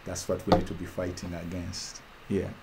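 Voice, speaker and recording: Low-voiced man from Uganda, impassioned casual host, lots of repetition and ums, faint ambience. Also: completely flat delivery, normal speed, noisy background. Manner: fervent, rhetorical, repeats phrases, trails off with ums. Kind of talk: casual talk to an online audience.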